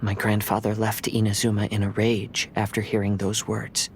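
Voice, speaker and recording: A man playing a gentle, pensive young wandering samurai in a video game, speaking in a measured, polite, reflective way. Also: fairly low pitch, monotone, a little hiss or hum, close to an everyday voice. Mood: somber. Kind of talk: scripted video game voice acting.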